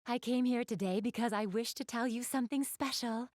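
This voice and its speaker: Actress as a refined young game goddess, high dry voice, genteel, formal, courteous, anime style. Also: female voice, steady tone, brisk, a little mellow, no echo, lightly acted. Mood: polite, eager. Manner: polite, genteel, formal diction. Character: elegant young goddess, refined, enthusiastic gamer. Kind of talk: anime video game voice acting, addressing the player.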